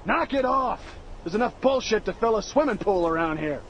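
An actor playing a tough, no-nonsense American paratrooper sergeant, giving orders in a gravelly, blunt, commanding way. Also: male voice, high voice, expressive, normal speed, fairly bright tone, slight background noise. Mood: exasperated, commanding.

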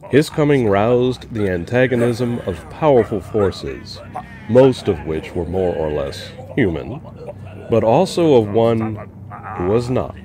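A man reading a story aloud in a dramatic, measured way. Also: fairly low pitch, lively intonation. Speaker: reader.

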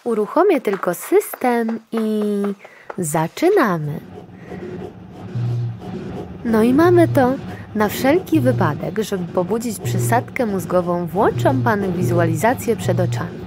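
An actress playing a brusque, officious clinic attendant in a dystopian future audio drama, clinical and commanding. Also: female voice, medium pitch, sing-song, a little slow, music in the background. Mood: brisk, teasing.

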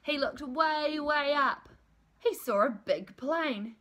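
A woman in her thirties reading a children's storybook aloud in an expressive, deliberate, emphatic way. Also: high-pitched, slight background noise. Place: small room at home, indoors.

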